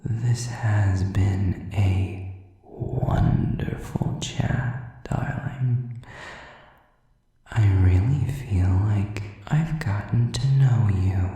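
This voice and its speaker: A man playing a slow, whispering, sadistic demon. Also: low-pitched, warm tone, exaggerated voice. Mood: mock-sweet threat. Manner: slow, breathy whispering, taunting and condescending. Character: sadistic, contemptuous male demon preying on humans. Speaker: voice actor in an audio roleplay. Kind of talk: whispered dramatic monologue to the listener. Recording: distant, very echoey, muffled sound.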